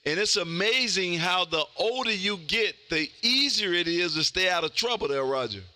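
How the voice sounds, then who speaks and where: A man in his fifties with a loud, exuberant, folksy manner, chatting casually as he hosts amateur radio contacts. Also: high voice, animated, moderate pace, very noisy. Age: 50s. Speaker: amateur radio operator hosting a live stream. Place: indoor home radio room.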